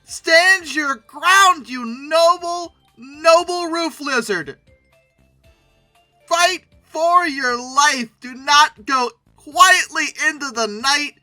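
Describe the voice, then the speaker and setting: Very bright forceful voice, medium pitch, humorous casual commentary, rambling, animated exclamations, faint music behind. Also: drawn-out words, dry recording. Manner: animated, exclamatory, mock-outraged outbursts. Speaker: host.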